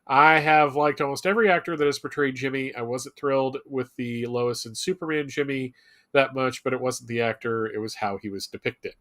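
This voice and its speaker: A man's voice: medium pitch, steady tone, a little fast, bright, forceful tone. A man co-hosting a live show, chatting casually and reading viewer messages aloud.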